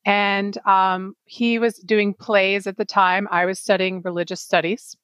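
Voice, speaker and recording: A woman's voice: mid-range voice, little pitch movement, measured pace, a bit bright, clean tone, no room sound. A woman co-hosting a podcast, speaking conversationally as she interviews a guest. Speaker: podcast co-host.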